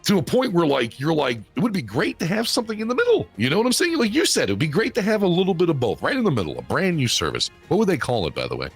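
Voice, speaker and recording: A man pushing forty, talking loosely on a live podcast. His husky voice leaps wildly up and down as he riffs, full of swearing and constant checks with his listener, with soft music in the background. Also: on the high side, fast talker, few pauses. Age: 30s. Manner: animated, profane, repeats "you know what I'm saying". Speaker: podcast co-host. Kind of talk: casual chat.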